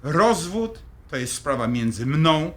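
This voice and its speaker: A man's voice: a little high, big pitch swings, takes it slowly, a bit bright, exaggerated voice. An actor playing a stern, middle-aged father in an audio drama, blunt, commanding and dismissive. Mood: defensive.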